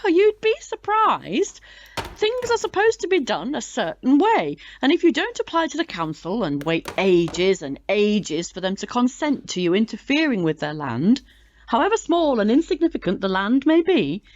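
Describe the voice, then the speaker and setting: A woman's voice: fairly high pitch, big pitch swings, normal speed, a little mellow, highs cut off. A woman playing a chatty, proud older British club chairwoman in an audio drama, genteel and theatrical in manner.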